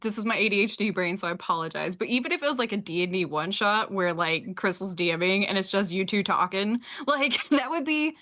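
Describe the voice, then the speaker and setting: Gushy enthusiastic woman host, bright voice, casual chat. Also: mid-range voice, fairly quick, hardly any pauses. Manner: gushing, enthusiastic. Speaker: host of a live listen event.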